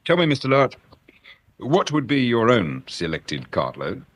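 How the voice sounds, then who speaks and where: A man's voice: medium pitch, huge pitch swings, unhurried, a bit bright, no echo, heavily acted. A man acting a mild, shrewd, genial detective in a radio drama, self-effacing and politely wry.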